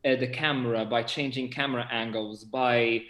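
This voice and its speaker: A man's voice: mid-range voice, steady tone, moderate pace, a bit bright. A man in his twenties with a Portuguese accent, chatting enthusiastically about his work in a casual interview over a video call. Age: 20s. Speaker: theatre director and actor. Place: indoor room, on a video call. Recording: roomy, echoing video call audio.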